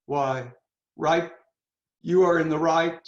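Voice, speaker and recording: A man performing an anguished, intense young prince in a Shakespearean dramatic reading, declaiming haltingly and dwelling on words. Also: a little high, steady tone. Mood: wry, intense. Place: indoors on a video call. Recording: roomy, echoing, muffled video call audio.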